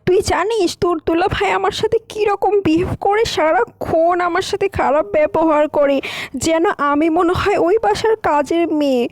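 A woman's voice: very high voice, normal speed. A woman voicing a whiny, defiant young wife in a cartoon, complaining emphatically. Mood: whiny, aggrieved. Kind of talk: cartoon voice acting.

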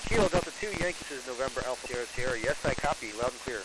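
A man's voice: mid-range voice, very fast. A man speaking as an astronaut over a ham radio transmission, answering questions in a procedural, explanatory way. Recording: hissy, noisy ham radio reception. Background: radio hiss.

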